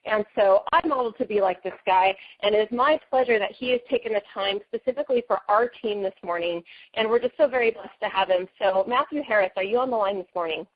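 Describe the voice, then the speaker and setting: A woman's voice: medium pitch, quick pace. A woman hosting a team conference call, introducing a guest speaker with effusive, enthusiastic praise. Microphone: phone line. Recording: muffled phone line.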